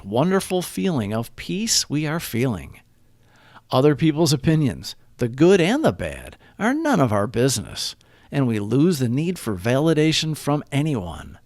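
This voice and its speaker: A man reading a scripted daily reflection aloud as narrator, phrasing it deliberately in short, measured groups. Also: medium pitch, no echo, studio quality.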